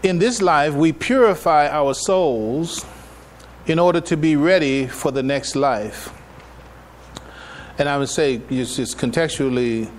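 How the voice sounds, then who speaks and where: A man giving a public religious lecture as an imam, speaking in a halting, deliberate way and often repeating his phrases. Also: medium pitch, slight background noise. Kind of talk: public religious lecture, partly reading from notes. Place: live public address in a room.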